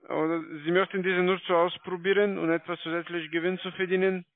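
A man with a foreign accent speaks in a high voice over a tinny phone line with no room sound. He recites a sales pitch haltingly, as a non-native speaker. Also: level tone, no echo. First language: not German. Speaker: call-centre salesman.

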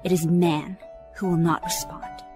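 A woman's voice: fairly low pitch, little pitch movement, a little slow, music in the background. A woman giving a solemn scripted reading of a quotation.

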